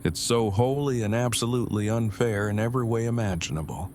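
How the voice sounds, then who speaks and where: Gentle, wordy, formal male partner played by an actor, audio drama; low voice, slight background noise. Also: fairly quick, natural voice. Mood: sympathetic, earnest. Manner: verbose, precise, formal phrasing.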